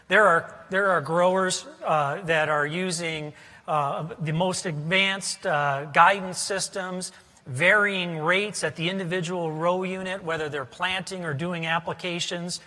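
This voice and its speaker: A man's voice: high-pitched, bright, forceful tone, in a large hall. A man in his forties speaking as a panelist, explaining with confident corporate assurance. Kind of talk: panel discussion. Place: conference stage in a large hall. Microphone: lapel.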